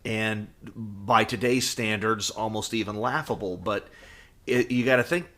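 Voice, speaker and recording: A man in his fifties co-hosting a podcast, chatting casually and reminiscing in a halting way, repeating phrases as he goes. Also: lowish voice, pushed and bright. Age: 50s. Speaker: podcast co-host. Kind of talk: casual reminiscing fan chat.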